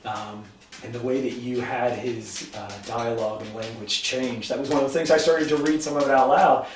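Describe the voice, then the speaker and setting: A man with an Ohio accent hosting a cooking and book chat, talking in a thoughtful, halting way with many ums. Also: mid-range voice, steady tone, far from the mic. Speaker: host. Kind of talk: casual interview chat. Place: home kitchen. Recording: echoey room, voice off-mic, faint hum.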